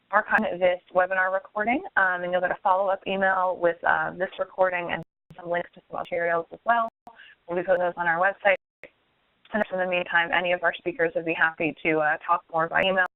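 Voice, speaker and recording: A woman's voice: fairly low pitch, no room sound. Female moderator on a narrow phone line. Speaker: webinar moderator. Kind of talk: informal hosting and housekeeping. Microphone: telephone or conference call line.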